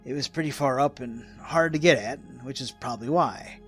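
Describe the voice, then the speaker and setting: An actor playing a folksy, rambling old American hermit in a radio drama, with a gravelly, raspy voice and an excitable rustic manner. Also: male voice, mid-range voice, normal speed, faint music behind, close to an everyday voice. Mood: folksy, reminiscing. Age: older.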